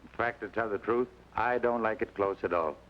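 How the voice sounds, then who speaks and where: A man's voice: fairly low pitch, close to an everyday voice. A man playing a wry Mexican police colonel. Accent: Mexican Spanish. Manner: dry, measured, ironic. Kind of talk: 1940s radio acting. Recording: dull old radio recording with music.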